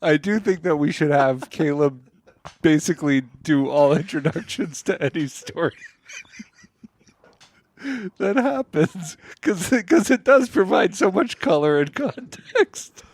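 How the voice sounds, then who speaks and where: A man co-hosting a comedy podcast, explaining things in a halting, mock-scholarly way amid casual banter. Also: fairly high pitch, animated, no room sound.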